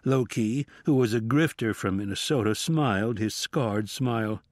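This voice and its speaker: A man narrating fiction for an audiobook, measured and deliberate, with dramatic pauses. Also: on the low side, no room sound, studio quality.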